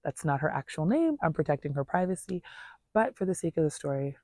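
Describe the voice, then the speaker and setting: A woman's voice: low-pitched, sing-song, brisk, darkish tone, no room sound, studio quality. A woman telling a personal story in a casual, earnest, conversational way, voicing reported dialogue as she goes. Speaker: storyteller vlogger.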